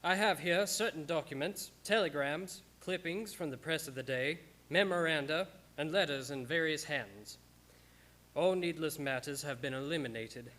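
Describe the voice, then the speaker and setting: A man playing a solemn gentleman witness who formally declaims his evidence in a radio play. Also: on the high side, moderate pace, natural voice. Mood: solemn, insistent. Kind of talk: dramatic radio-play narration.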